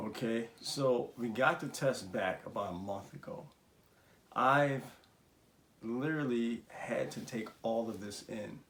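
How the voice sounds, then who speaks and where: A man's voice: medium pitch, measured pace. A man in his thirties talking casually and playfully with his wife, joking as he goes. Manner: playful, animated, jokes and laughs. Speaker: husband and guest. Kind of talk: casual conversation. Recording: echoey room with faint noise.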